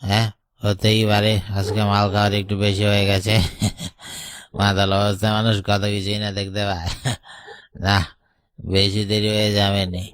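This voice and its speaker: Casting: man as jumpy, tipsy cartoon farmhand, superstitious, excitable, close dry sound. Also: on the low side, moderate pace, long pauses, smooth, no room sound, close to an everyday voice. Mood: tipsy, puzzled. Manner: servile, excitable. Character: superstitious, easily frightened tipsy village farmhand. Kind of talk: Bengali cartoon dubbing.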